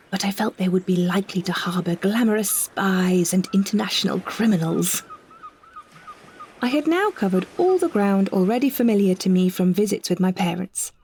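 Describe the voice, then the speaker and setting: A British woman reads a scripted first-person story with measured, expressive phrasing and careful delivery. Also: medium pitch, gravelly, slightly rough. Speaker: narrator, solo performer of an audio story.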